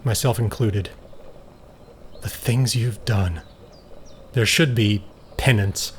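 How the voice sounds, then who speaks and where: A man's voice: on the low side, slow pace. A man acting in an audio drama as a stern, self-controlled scientist, blunt and clinical in manner. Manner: matter-of-fact, firm, clinical. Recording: faint background noise.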